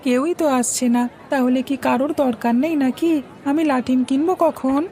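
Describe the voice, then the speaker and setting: A woman's voice: sits high, moderate pace, mellow, some chatter behind, a little hiss or hum. A woman voicing an eager, chatty, pouty young village boy in a cartoon story, childlike and argumentative. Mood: impatient. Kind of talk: Bengali cartoon story acting. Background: music.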